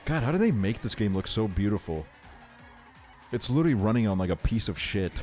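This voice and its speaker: A man's voice: on the low side, big pitch swings, brisk, darkish tone, faint music behind. A man from Estonia livestreaming a video game, chatting casually in slangy, sweary talk. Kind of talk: casual chat while streaming a video game.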